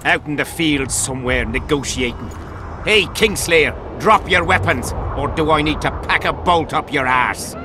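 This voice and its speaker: An actor playing a hostile, foul-mouthed army camp guard with a coarse, threatening bark in a video game. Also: male voice, fairly high pitch, normal speed, noisy background, natural voice. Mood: hostile contempt. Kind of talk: dramatic video game dialogue.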